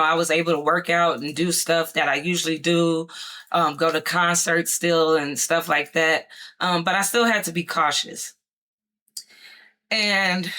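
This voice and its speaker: A woman talking casually about her life, rambling with many fillers and restarts, her voice going hoarse at times. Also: deep voice, bright, forceful tone. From Chicago area, United States. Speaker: vlogger sharing her experience. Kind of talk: casual personal talk to camera.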